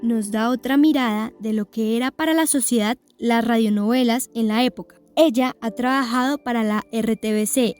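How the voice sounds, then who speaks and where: Higher-pitched female narrator. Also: normal speed, hardly any pauses, a little mellow, soft background music. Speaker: documentary narrator. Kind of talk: scripted narration.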